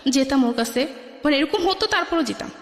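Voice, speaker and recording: A woman with a high voice and a Bangladeshi accent shares a personal story as a guest. She speaks colloquially, replaying conversations by quoting each side. The recording is very echoey, with a long reverb tail. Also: lively intonation, a little fast. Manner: recounts conversations by quoting both sides. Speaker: guest telling her life story.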